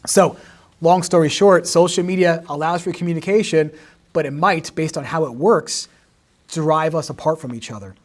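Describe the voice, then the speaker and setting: Male teacher, 40s, slightly hoarse, higher-pitched, patient livestream explainer. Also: moderate pace. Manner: explanatory, peppers sentences with "right?". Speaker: history teacher. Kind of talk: livestream exam review lesson. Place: home in the suburbs.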